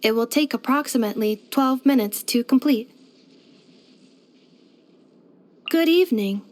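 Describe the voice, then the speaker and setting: Actress as female robot helper for a game: high voice, formal, precise, literal-minded, unfailingly polite. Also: lively intonation, a little fast, a little mellow, close to an everyday voice. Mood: polite, attentive. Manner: formal, precise, clipped system-report phrasing. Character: polite, helpful female robot maid companion, earnest and literal. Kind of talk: scripted video game character voice lines.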